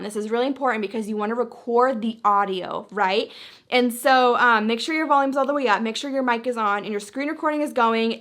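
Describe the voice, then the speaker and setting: A woman's voice: a little high, a bit bright. A woman hosting a social media tutorial, talking casually and chattily through each step.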